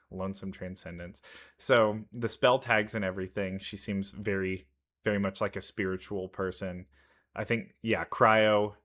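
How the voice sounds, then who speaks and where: Bright-voiced man musing through a reaction. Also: lowish voice. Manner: musing, thinking aloud. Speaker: reaction video host. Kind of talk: casual game reaction commentary.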